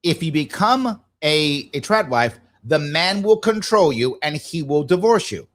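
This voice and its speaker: A man's voice: a little high, very animated, a little slow. A man hosting a live talk show, giving blunt, argumentative commentary and repeating phrases emphatically to drive points home.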